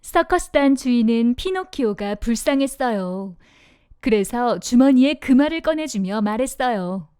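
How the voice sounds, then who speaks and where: A woman narrating a children's storybook in an expressive, sing-song manner. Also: fairly high pitch, a little slow, smooth, no echo, studio quality.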